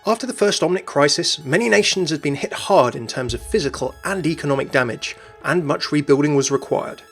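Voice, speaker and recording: A man's voice: on the high side, animated, fairly quick, fairly bright tone, husky, soft background music. A man hosting a video, reading scripted narration about a game character. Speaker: host.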